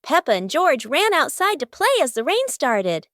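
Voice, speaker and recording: Very high-pitched, lively children's cartoon narrator. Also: moderate pace, no echo, clean studio sound. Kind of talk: scripted children's story narration.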